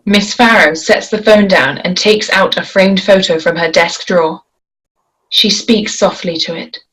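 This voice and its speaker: A woman reads the stage directions of a script slowly and deliberately. Her voice sits a little low. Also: a bit bright, husky, low-quality recording. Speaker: narrator reading stage directions. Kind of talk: table read narration.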